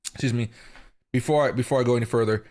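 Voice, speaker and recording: A man hosting a live market stream, his voice hoarse from a chest infection. He talks casually and without hurry, pausing often and filling gaps with ums and uhs, at a mid pitch typical for a man. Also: fairly even intonation, a little mellow. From Seattle area, US. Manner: casual, unhurried, with pauses and frequent fillers. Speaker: crypto market livestream host.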